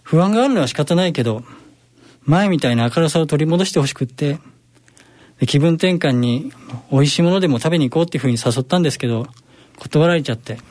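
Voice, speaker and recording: A man's voice: on the high side, lively intonation, fast, darkish tone, no room sound, lightly acted. A man playing a worried, well-meaning husband in an amateur radio drama, hesitant and earnest.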